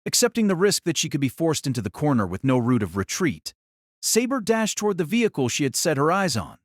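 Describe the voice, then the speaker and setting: A man narrating a scripted action story as an audiobook reader, with a measured, formal, literary delivery. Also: fairly high pitch, big pitch swings, no room sound, clean studio sound.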